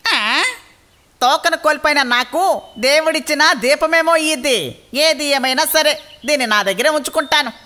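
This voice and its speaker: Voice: sits high, normal speed, pushed and bright, a little hiss or hum. A performer voicing a mischievous, cocky young monkey in a children's cartoon, exclamatory and bossy, with music behind. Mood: delighted, scheming.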